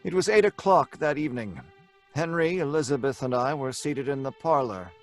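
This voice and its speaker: A male actor plays an obsessed young man of science in an old-fashioned radio play. His mid-pitched voice is earnest, telling a grim tale. Music plays underneath. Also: moderate pace, close to an everyday voice. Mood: grave, haunted. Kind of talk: old-time radio drama acting with narration.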